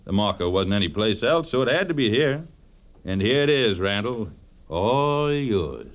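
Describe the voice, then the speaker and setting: Cocky male killer-blackmailer: threatening, sneering, animated pitch, dull old radio sound. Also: moderate pace. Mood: gloating, menacing. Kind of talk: 1940s radio crime drama acting. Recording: dull vintage radio recording.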